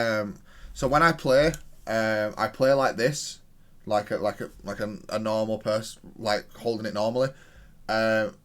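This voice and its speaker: Low-voiced man analysing his gameplay. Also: a bit bright. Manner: self-correcting, analytical, thinking aloud. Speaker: video game player and content creator. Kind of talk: casual gameplay commentary, analysing own match.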